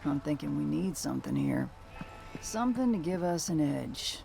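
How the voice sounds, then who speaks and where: Gravelly, world-weary woman bounty hunter. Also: low-pitched, animated, moderate pace, warm tone, heavily acted. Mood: weary, troubled. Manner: dry, terse, plain-spoken. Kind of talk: naturalistic video game acting.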